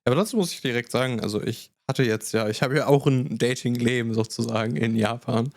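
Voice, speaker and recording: A man's voice: mid-range voice, a little fast, no room sound. Mid-voiced guy chatting on a podcast, tosses in english words, dry mic. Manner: animated storyteller, mixes in English words. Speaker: podcast co-host. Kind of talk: casual podcast chat.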